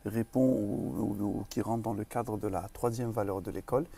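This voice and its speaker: Un homme d'âge moyen, originaire du Maroc, directeur d'école en entretien, parle de façon posée avec beaucoup d'hésitations. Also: male voice, fairly low pitch, fairly even intonation, vocal fry, mellow, breathy edge. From Morocco. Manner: measured, many pauses and restarts. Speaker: school director. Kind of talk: interview.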